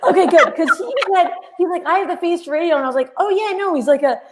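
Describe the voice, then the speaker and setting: A woman co-host telling a story in a lively, giggly, quick way during casual chat. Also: very high-pitched.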